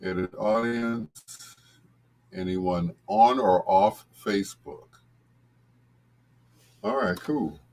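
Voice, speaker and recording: A man's voice: fairly low pitch, sing-song, drawn-out words, long pauses, darkish tone. A man hosting a casual livestream, greeting viewers by name in a folksy way. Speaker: host of a bedtime stories livestream.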